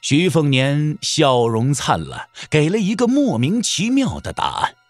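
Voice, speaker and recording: A man's voice: fairly high pitch, sing-song, measured pace, no echo. A man narrating a martial-arts audio drama in a scripted, dramatic storytelling style. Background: background music.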